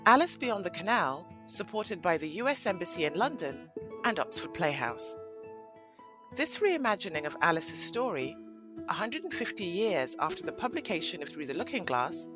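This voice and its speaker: Narrator: woman, lowish voice, animated and dramatic storytelling delivery over faint music. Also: moderate pace. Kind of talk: scripted storybook narration for audio play.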